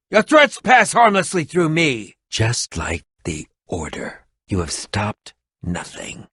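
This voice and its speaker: Haughty scheming video game rival, hot-tempered, melodramatic, hugely swooping intonation, forceful edge, dry studio sound. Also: male voice, fairly high pitch, no echo, exaggerated voice. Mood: haughty disdain, then cold menace. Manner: haughty, sneering, melodramatic, grandiose phrasing. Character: pompous, theatrical, hot-tempered scheming potion-brewing man, bitter rival. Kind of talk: animated video game voice acting.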